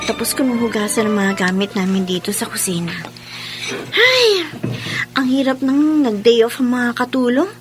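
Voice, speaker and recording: An actress playing a dutiful, chatty young woman in a Filipino radio drama, with expressive delivery. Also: on the high side, moderate pace, music in the background. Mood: cheerful, chatty. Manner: expressive, talking aloud to herself.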